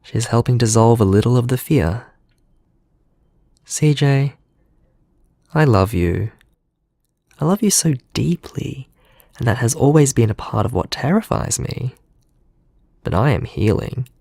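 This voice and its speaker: A man acting a gentle, earnest man healing from trauma in an intimate audio drama monologue. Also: mid-range voice, animated, a little slow, creaky, long pauses, dry recording, clean studio sound. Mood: tender, vulnerable. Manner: earnest, confiding, reflective.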